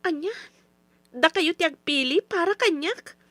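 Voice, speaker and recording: An actress with an Ilocano accent playing an earnest, stubborn young daughter in an old-style radio drama, plaintive and protesting. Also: female voice, extremely high pitch, lively intonation, a little slow, sharp tone, no echo, exaggerated voice. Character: earnest young daughter, stubborn, pleading, simple farm girl.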